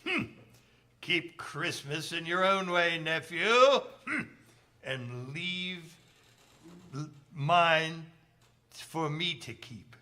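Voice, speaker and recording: An actor in a stage radio play voicing a cantankerous old miser with a gruff, scornful, muttering manner. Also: male voice, fairly high pitch, very slow, long pauses, bright, forceful tone, full character voice. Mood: contemptuous. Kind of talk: stage radio drama acting.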